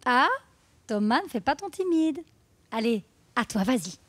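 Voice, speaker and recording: An actress playing a brisk, falsely cheerful, patronising woman leading a support circle in a satirical radio drama. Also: a little high, big pitch swings. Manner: patronising, bossy, gushing.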